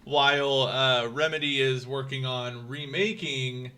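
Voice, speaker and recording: A man's voice: mid-range voice, fairly even intonation, a little slow, sharp tone, clear, resonant tone. A man co-hosting a casual gaming podcast chat, talking eagerly, laughing often and tripping over his words. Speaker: podcast co-host.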